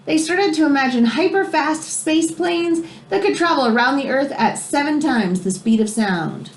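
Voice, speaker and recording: A woman reading a children's book aloud in an expressive way, as if explaining it to young listeners. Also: sits high, moderate pace, a little hiss or hum. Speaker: read-aloud storyteller.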